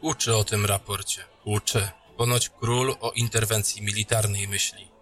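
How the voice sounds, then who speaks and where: A man's voice: lowish voice, flat delivery, normal speed, fairly bright tone, close to an everyday voice. A man voicing a jokey captain greeting an old friend in a fantasy audio drama, teasing and friendly.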